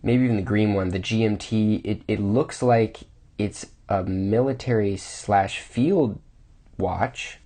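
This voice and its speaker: A man's voice: fairly low pitch, takes it slowly, warm tone. A man reviewing watches casually, thinking aloud with restarts, his voice a bit off from a cold.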